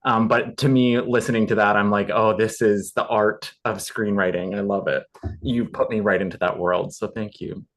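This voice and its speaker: Low-voiced man giving appreciative feedback. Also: fairly even intonation, moderate pace, fairly bright tone. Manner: appreciative, informal. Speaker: actor and writer on a panel. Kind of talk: casual feedback chat.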